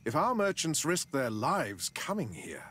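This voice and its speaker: A man's voice: fairly high pitch, very animated, a little slow, a bit bright, slight background noise. A man playing a polite, cautious official in a video game, speaking in a formal, tactful, polished way. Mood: cautious, grave. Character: polite, cautious male colonial official, diplomatic negotiator. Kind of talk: scripted video game cutscene dialogue.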